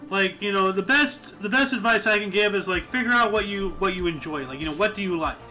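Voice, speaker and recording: A man's voice: sits high, brisk, bright, forceful tone, soft background music. A man in his thirties hosting a casual group chat, rambling with many fillers. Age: 30s. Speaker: podcast host.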